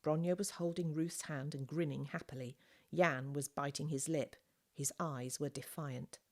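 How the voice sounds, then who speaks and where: A woman with a low-pitched voice narrates a storybook. She reads scripted prose as an audiobook reader. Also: steady tone, normal speed.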